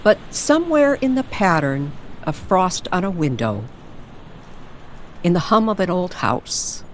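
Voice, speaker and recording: A woman narrating a scripted story in an old-time radio style, with deliberate, hushed storytelling phrasing. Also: fairly low pitch, sing-song, a little hiss or hum. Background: music bed.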